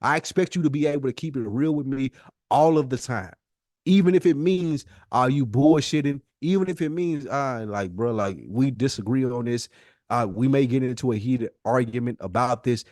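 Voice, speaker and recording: A man's voice: mid-range voice, moderate pace, a little mellow, no echo. Blunt American man in a dry recording. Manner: blunt, emphatic, repeats himself for emphasis. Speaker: podcast co-host. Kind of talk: casual argumentative podcast chat.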